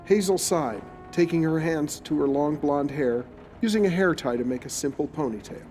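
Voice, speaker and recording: A man's voice: fairly high pitch, normal speed, background noise. A man reading scripted, descriptive story narration for an audio drama, like a storyteller. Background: music.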